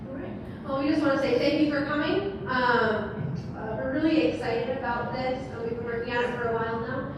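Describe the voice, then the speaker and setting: A woman's voice: high voice, steady tone, a little fast, muffled recording. A young woman from Michigan, a graduate student, presenting to a room in a casual, chatty way. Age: young adult. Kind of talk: conference presentation. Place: lecture room. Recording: echoey hall, distant mic, background noise.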